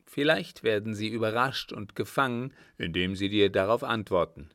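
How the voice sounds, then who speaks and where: A man's voice: fairly low pitch, normal speed, a bit bright, no echo, clean studio sound. A man voicing a grave, commanding old sheikh in an audiobook, speaking in a solemn, formal and emphatic way.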